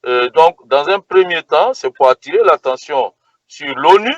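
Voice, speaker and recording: A man's voice: a little high, expressive, moderate pace, no room sound, dull audio. A man from Côte d'Ivoire answering interview questions as a protest organizer, speaking hesitantly with many fillers and restarts.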